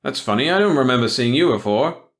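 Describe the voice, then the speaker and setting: A man's voice: mid-range voice, animated, fast talker, pitched above the performer's own voice, lightly acted. A man voicing a young small-town driver who speaks in a blunt, puzzled way.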